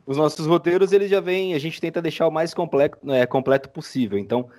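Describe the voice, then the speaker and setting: A man's voice: on the high side, fast talker, a little mellow. A Brazilian man explaining things casually as a podcast guest. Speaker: podcast guest, audio drama maker.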